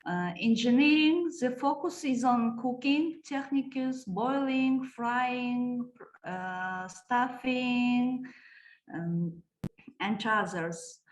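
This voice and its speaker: A woman from Kazakhstan, presenting a teaching project at a conference and pausing often to search for words. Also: fairly high pitch. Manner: halting, searching for words, many hesitations. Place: conference room. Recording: echoey hall.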